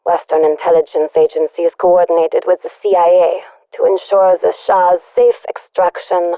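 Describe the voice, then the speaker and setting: A woman's voice: on the low side, flat delivery, normal speed, dry recording. An actress playing a wry, secretive German woman spy, confiding in a scripted video game audio log through a radio filter. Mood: sober, sincere. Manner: wry, confiding, dryly joking. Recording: dull lo-fi radio recording.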